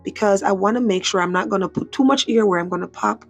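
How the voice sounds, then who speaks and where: A hoarse woman hosting her own craft channel, chatting casually through a tutorial and often repeating herself. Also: mid-range voice, quick pace, soft background music. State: hoarse, voice mostly gone.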